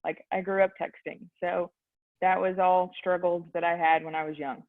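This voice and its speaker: A woman's voice: fairly low pitch, little pitch movement, moderate pace. A young adult woman with a Texan accent, a real estate agent answering interview questions in a youthful voice, earnest and full of ums. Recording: tinny phone-like line.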